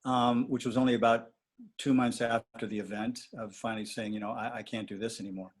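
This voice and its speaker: A man talking reflectively in a casual conversation with a dating coach, pausing and restarting often. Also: mid-range voice, flat delivery. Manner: reflective, halting with many restarts and fillers.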